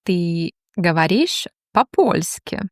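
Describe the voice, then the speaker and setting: A woman teaching a language, reading slow, deliberate question-and-answer drills with long pauses. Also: on the low side, big pitch swings, dry recording, clean studio sound.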